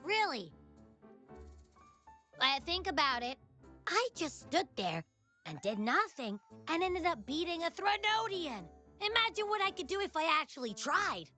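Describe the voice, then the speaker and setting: A high-pitched, childlike creature sidekick from a video game. The delivery swings widely between cocky boasting and whiny pleading, with a bright, forceful edge and music underneath. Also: female voice, very animated, a little slow, heavily acted. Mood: boastful. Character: boastful, gluttonous, childlike little shapeshifting creature companion. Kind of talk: animated video game voice acting.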